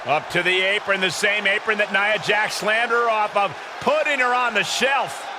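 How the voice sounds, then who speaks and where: A man giving loud, excited play-by-play wrestling commentary for a video game, projecting his voice. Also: sits high, normal speed. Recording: noisy arena crowd behind voice.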